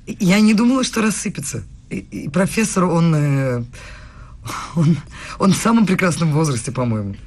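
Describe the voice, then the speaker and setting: A woman acting in a radio play as an eighteen-year-old philosophy student, eager, nervous and deferential, with a husky voice. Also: fairly low pitch, expressive, normal speed, darkish tone. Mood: flustered, eager. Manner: earnest, flustered, deferential.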